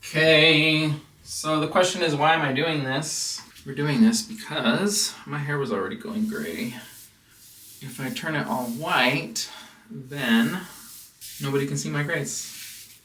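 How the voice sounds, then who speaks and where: An American man telling a personal story as a casual vlog host, in a rambling, halting way. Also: on the high side, pushed and bright. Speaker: house music producer and DJ hosting a vlog. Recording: roomy, distant mic with background noise.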